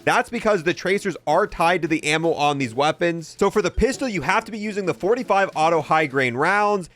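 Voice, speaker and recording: A man's voice: a little high, expressive, brisk, a bit bright. A young man giving casual, matter-of-fact commentary as he explains and shows off video game content. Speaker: gaming video host.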